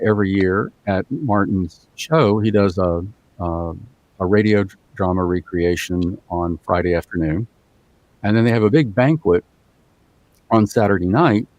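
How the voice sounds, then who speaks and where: A man's voice: fairly low pitch, darkish tone, muffled recording. A man guesting on a podcast, telling a rambling story with many fillers. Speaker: guest voice actor.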